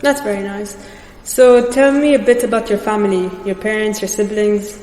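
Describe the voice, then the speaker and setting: A woman's voice: medium pitch, steady tone, normal speed, a little mellow. A young woman asking questions as the interviewer in a casual interview. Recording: echoey reverberant room with background noise.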